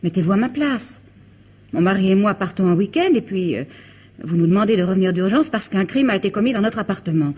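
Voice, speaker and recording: A woman's voice: mid-range voice, expressive, fast, a little mellow, breathy edge. An actress playing a distressed, evasive married woman under questioning in a radio mystery drama, melodramatic and defensive.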